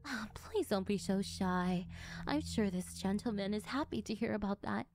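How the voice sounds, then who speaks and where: A woman's voice: mid-range voice, normal speed, darkish tone, faint music behind, natural voice. A woman voicing a doting, manipulative yandere mother in an audio roleplay, coaxing and self-justifying in a wounded manner. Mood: sweetly teasing. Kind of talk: anime-style audio roleplay.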